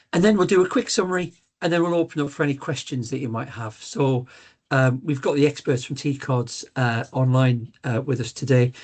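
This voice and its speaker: A man's voice: mid-range voice, a little mellow. A middle-aged man with a Newcastle accent hosts a live business webinar as sales director. He talks in a loose, conversational way, with many ums and uhs. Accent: Geordie (Newcastle). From Newcastle, England.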